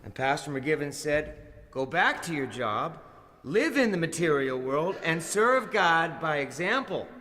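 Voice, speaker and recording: A man's voice: fairly high pitch, big pitch swings, measured pace, sharp tone, a little hiss or hum, exaggerated voice. An actor playing a zealous, self-assured born-again American businessman and father in an audio drama, with a folksy, preacherly manner. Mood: fervent preaching. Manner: folksy, preacherly, rhetorical questions. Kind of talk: audio drama acting, preaching speech and confessional narration. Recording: music playing behind the voice.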